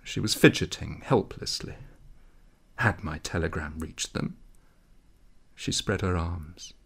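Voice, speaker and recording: A man reading a literary story aloud in a measured, dramatic way, with a gravelly, husky voice. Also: low voice, fairly bright tone. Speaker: audiobook reader.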